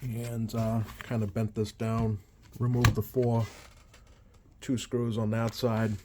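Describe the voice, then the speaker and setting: A man giving casual, halting hands-on repair commentary, thinking aloud as he works at a bench. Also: fairly low pitch, completely flat delivery, background noise. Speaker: radio repair technician, video host. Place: small indoor workshop at an electronics bench.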